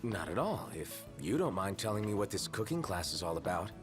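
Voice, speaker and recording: A man's voice: low voice, very animated, fairly quick, over music. A man voicing a laid-back young prince in a video game, casual and dry with an American accent. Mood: dryly teasing. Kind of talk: video game cutscene voice acting.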